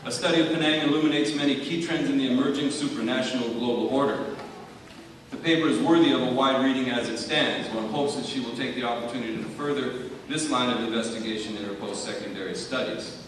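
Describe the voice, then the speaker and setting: A male high school teacher delivering a prepared award speech in a measured, articulate, formal way with dry wit. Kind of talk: prepared speech, wryly humorous tribute. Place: large hall at an awards ceremony. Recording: very echoey hall, far from mic, faint noise.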